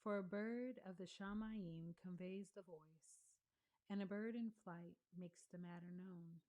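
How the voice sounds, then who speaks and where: A woman giving a slow, measured read-along of scripture, pausing between phrases. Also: lowish voice, a little mellow. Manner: measured, deliberate reading with pauses. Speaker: scripture reader.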